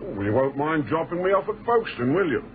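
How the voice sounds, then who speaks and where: An actor playing a chatty, overfamiliar British commercial traveller in a radio drama, glib and hearty with matey old-chap phrasing. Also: male voice, on the high side, sing-song, fast, narrow, tinny audio. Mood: glib, persuasive. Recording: thin band-limited old radio recording, noisy.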